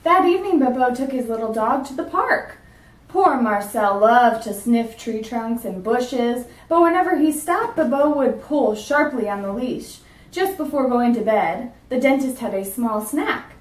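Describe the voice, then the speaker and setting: A woman teacher reading a picture book aloud in a clear, measured storytelling manner. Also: a little high, expressive. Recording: roomy sound from a distance.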